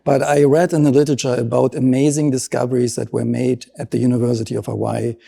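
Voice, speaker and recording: A man's voice: mid-range voice, gravelly, mellow, breathy edge. A middle-aged man with a German accent, a marine scientist explaining his work in an interview with earnest enthusiasm.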